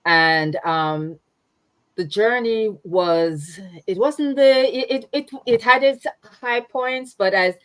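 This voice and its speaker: A woman's voice: mid-range voice, takes it slowly, dry recording. A woman in her fifties with a Jamaican accent, a nonprofit founder speaking in a conversational interview, reflective, with frequent fillers and restarts. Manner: warm, reflective, many fillers and restarts, laughs easily.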